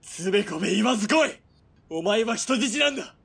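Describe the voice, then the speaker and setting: A man's voice: high voice, lively intonation, brisk, creaky, fairly bright tone, breathy edge, exaggerated voice. A man playing a bullying, hot-tempered military police commander in a radio drama, barking threats. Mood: furious, rattled.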